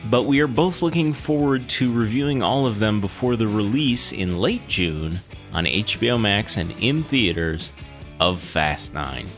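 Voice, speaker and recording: A man's voice: on the low side, a bit bright. A man hosting a podcast, chatting casually about films in a wry, joking, conversational way. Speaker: podcast host. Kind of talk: casual film discussion and show announcements.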